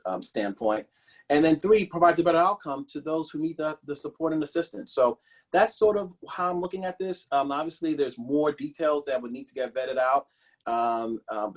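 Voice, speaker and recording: A man speaking as a political candidate, answering a policy question in a halting, slightly husky voice that often repeats and corrects phrases. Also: medium pitch.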